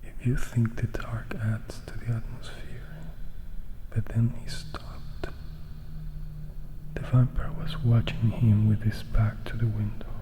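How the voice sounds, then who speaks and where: A man narrating a story as ASMR, reading softly and deliberately, phrase by phrase, in a whisper. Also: on the low side, low-quality recording.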